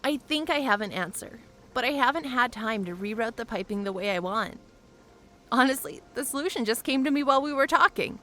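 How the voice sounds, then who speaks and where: A woman's voice: on the high side, lively intonation, a little fast, pushed and bright. A woman playing a young airship pilot in an audio drama, practical and curious, talking in a frank, conversational way.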